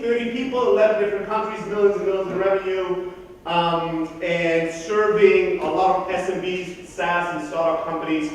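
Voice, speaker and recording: A man's voice: a little high, far from the mic. Blunt, profane man in an echoey hall. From Greece, raised in southern Germany. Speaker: conference speaker. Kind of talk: keynote talk. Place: large conference hall.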